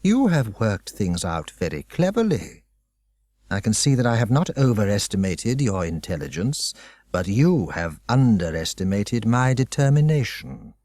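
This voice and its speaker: A man voicing a cold, controlling headmaster who speaks in slow, measured menace. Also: lowish voice, sing-song, warm tone, no room sound, clean studio sound, voice pushed down.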